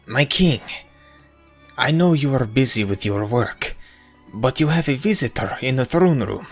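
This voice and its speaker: A man's voice: mid-range voice, lively intonation, unhurried, husky, faint music behind. A man voicing a meek, deferential servant of a god in an ASMR audio roleplay, with formal speech.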